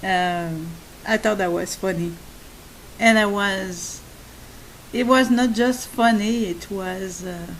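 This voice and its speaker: Slow, soft-spoken accented woman. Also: medium pitch, a little hiss or hum, poor audio. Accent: non-native English. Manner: slow, halting, with many fillers and restarts. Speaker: channel host. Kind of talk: spoken monologue to subscribers.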